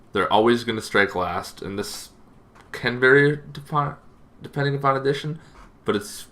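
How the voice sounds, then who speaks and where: A man hosting a tabletop gaming channel, giving a casual, informal explainer with halting, loosely phrased delivery. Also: fairly low pitch, gravelly, bright, forceful tone.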